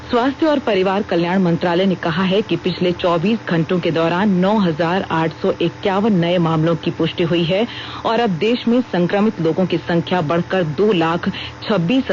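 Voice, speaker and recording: A woman's voice: on the low side, a little mellow. A woman with an Indian accent reading a formal scripted news bulletin as a radio news reader. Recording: dull lo-fi radio broadcast with noise.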